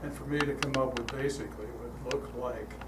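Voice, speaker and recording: Older man, calm storytelling in an echoey hall. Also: mid-range voice, fairly quick. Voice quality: calm, relaxing voice. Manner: relaxed, chatty, frequent uh fillers and restarts. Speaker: guest speaker leading a guided meditation. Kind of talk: storytelling talk to a congregation. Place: church hall. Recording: echoey hall, heard from a distance, noisy.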